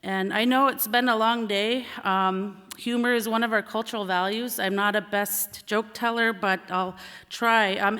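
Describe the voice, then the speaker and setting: A woman from St. Lawrence Island, Alaska, a native Siberian Yupik speaker, giving a measured conference speech as a panelist, with frequent short pauses. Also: medium pitch, sharp tone, smooth. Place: large indoor conference hall. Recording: echoey hall sound.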